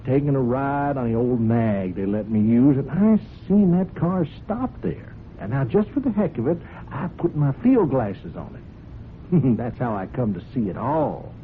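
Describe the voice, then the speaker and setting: A man's voice: medium pitch, lively intonation, moderate pace, natural voice. Sly folksy blackmailer played by a man, swooping delivery. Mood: sly, accusing. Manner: insinuating, falsely amiable, chuckling, hesitant phrasing. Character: sly, folksy male horse-farm hand turned blackmailer. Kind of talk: radio drama acting. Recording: muffled, noisy old radio broadcast recording.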